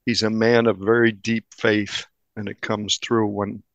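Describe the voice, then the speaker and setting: A man's voice: fairly low pitch, level tone, measured pace, no room sound. A man speaking conversationally as a journalist being interviewed.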